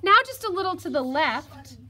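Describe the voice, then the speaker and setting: A woman's voice: high-pitched, expressive, moderate pace, pushed and bright, voice pushed up. A woman voicing a small young male piglet in a children's story, polite and cunning, pleading and coaxing. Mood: flattering, coaxing.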